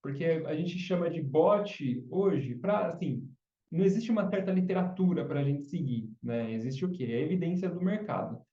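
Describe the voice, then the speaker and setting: A man's voice: a little high, expressive, moderate pace, mellow, far from the mic. A man who is a podcast guest, explaining chatbots patiently in casual interview talk and often ending with tag questions. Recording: dull, roomy sound from off mic.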